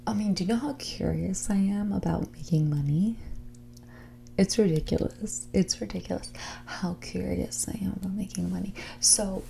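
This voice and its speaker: A woman playing a snobbish, manipulative heiress in her twenties in a whispered, soft-spoken ASMR roleplay, condescending and blunt with a drawl full of filler "like". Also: mid-range voice, normal speed, gravelly, slight background noise. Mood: self-satisfied. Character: snobbish, manipulative young rich heiress on a date.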